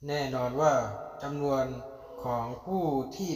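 A man's voice: a little high, measured pace, a little hiss or hum. A man reading scripted narration for a fantasy story. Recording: echoey, long reverb.

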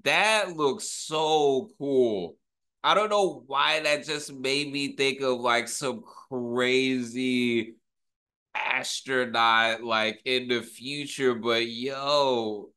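Slow-paced, enthusiastic man reacting casually. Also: mid-range voice, a bit bright, clean tone, faint music behind. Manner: enthusiastic, awed, slangy. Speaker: reaction video host, rapper. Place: small home room.

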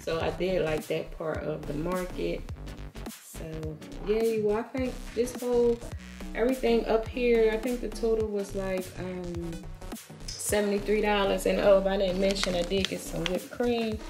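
Chatty woman with gravelly voice. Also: medium pitch, measured pace, music in the background. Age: adult, has a daughter. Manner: chatty, addresses viewers as y'all. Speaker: vlogger. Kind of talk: casual grocery haul chat.

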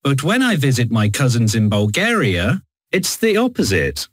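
Young British guy, very animated pitch, dry studio read. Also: normal speed, husky, dry recording. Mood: friendly. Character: young British man posting a comment. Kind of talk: scripted textbook audio.

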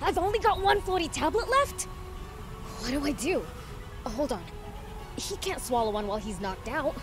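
A woman's voice: sits high, lively intonation, normal speed, over music. A woman voicing a brash, cocky young demon prince in a video game, bossy and informal. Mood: worried. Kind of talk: video game cutscene acting.

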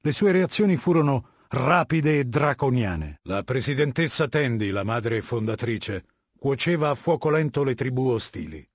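An actor voicing a cold, haughty middle-aged warlord dictator in a video game, lecturing in a measured, commanding and disdainful way. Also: male voice, medium pitch, animated, breathy edge, no room sound, studio quality. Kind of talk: video game character dialogue.

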